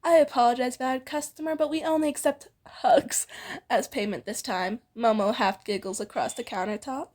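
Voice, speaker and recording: A woman's voice: on the high side, normal speed, a little mellow. A young woman reading fan fiction aloud casually, giggly and often breaking off into banter. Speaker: amateur fan fiction reader and co-host.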